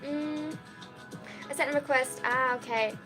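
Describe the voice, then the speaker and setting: Young woman streaming, high voice, giggly and rambly, soft music playing behind. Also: fairly even intonation, measured pace. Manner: chatty and giggly, full of "like" and "um". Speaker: streamer and college student. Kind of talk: casual livestream chat answering viewer questions.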